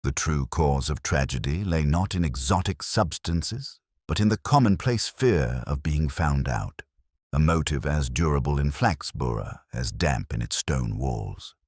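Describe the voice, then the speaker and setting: A male narrator reads a mystery aloud in a wry, stately storytelling manner. His voice is extremely deep yet lively in its pitch. The recording is dry and close, with no room sound. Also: unhurried, breathy edge, no room sound. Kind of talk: scripted audiobook narration.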